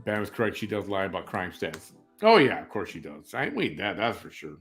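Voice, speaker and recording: A man's voice: sits low, very animated, fairly quick, a bit bright, faint music behind. A man in his fifties from Chicago hosting a live-stream chat show, rambling, opinionated and joking as he reads out viewer comments. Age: 50s.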